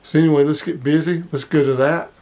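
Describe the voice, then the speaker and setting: Male reviewer, slightly high-pitched, casual and plain-spoken hands-on host. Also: steady tone, fast talker, warm tone.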